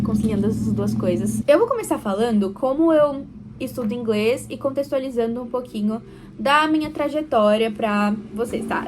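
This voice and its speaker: A young woman with a Brazilian Portuguese accent, chatting casually to camera in a vlog, self-deprecating and quick to laugh. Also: a little high, background noise. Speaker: vlogger.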